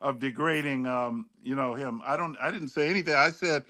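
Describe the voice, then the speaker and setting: A man's voice: medium pitch, brisk, pushed and bright. An American man of about seventy chatting earnestly as a panelist on a talk show. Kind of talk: casual panel chat.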